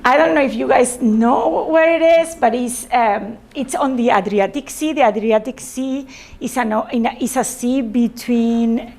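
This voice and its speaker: A woman from Spain with a Spanish accent gives an informal presentation to a group, haltingly, often repeating and correcting words. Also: on the high side. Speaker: presenter, sailor.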